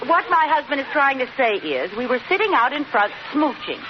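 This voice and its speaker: An actress playing a teasing, scheming, wisecracking housewife in an old radio situation comedy. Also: female voice, high voice, very animated, fairly quick. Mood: earnest. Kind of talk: 1940s-50s radio situation comedy acting. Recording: dull noisy old radio, loud audience.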